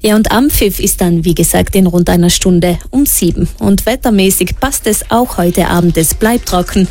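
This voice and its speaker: A woman reads the radio news in a low, mellow voice. Her delivery is steady and measured, recorded dry and close in a studio. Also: no echo, faint music behind. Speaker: news presenter. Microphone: studio microphone.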